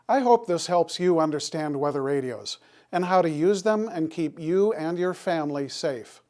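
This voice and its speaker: A man presenting scripted public safety information in a deliberate, instructional manner. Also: on the high side, animated, a little mellow.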